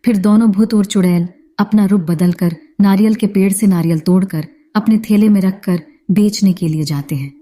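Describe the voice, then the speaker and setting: A woman's voice: medium pitch, moderate pace, few pauses, dark tone. A woman narrating a scripted horror story as its storyteller.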